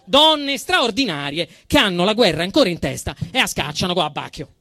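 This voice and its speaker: A man with a Roman accent performs a satirical stage monologue in a very high-pitched, forceful voice. His delivery swings widely, emphatic and indignant with a mock-solemn edge. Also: big pitch swings, brisk. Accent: Roman Italian. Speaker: actor performing a comic monologue. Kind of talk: theatrical satirical monologue, storytelling.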